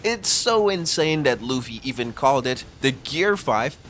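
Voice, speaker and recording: Man narrating with lively, swooping pitch. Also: a little slow, slight background noise. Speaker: narrator of an anime explainer video. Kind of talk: scripted explainer narration.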